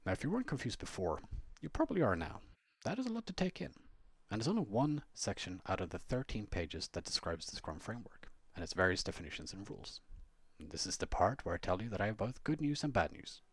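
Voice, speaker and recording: A man with a fairly low, husky voice narrates an instructional script. His intonation moves around a lot as he explains things in measured steps with conversational asides. The sound is close and dry. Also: sing-song, no echo. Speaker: blogger and Scrum trainer reading own blog post.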